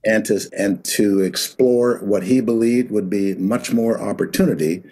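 A middle-aged man from Pittsburgh, a guest executive coach, telling his story in a halting way with fillers and restarts. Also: fairly low pitch, dark tone. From Pittsburgh, Pennsylvania. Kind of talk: casual spoken interview.

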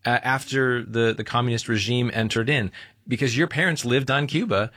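A man's voice: mid-range voice, moderate pace, fairly bright tone, no echo, studio quality. A man hosting a daily radio interview, affirming his guest with frequent short backchannels. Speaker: radio broadcast host. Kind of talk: interview host.

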